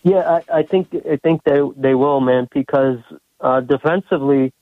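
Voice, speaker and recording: A man's voice: mid-range voice, normal speed, no echo. A man calling a sports radio show by phone, casually breaking things down with hesitant, filler-heavy phrasing. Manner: hesitant, says "you know" constantly. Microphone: phone call. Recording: tinny, narrow phone line.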